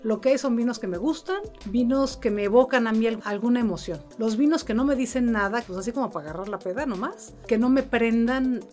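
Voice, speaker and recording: A woman's voice: on the high side, fairly quick, hardly any pauses, a little mellow, faint music behind. A middle-aged woman with a Mexican Spanish accent tells stories casually as an interview guest, in a chatty, humorous, colloquial way. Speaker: interview guest, wine importer and sommelier. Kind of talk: casual conversational storytelling.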